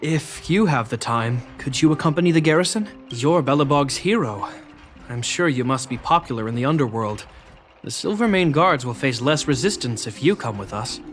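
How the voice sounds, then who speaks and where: A man's voice: medium pitch, expressive, moderate pace, soft background music, natural voice. A man playing a dutiful younger-brother guard captain in a video game, speaking in a formal, reserved and sincere way. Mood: awkward, sincere.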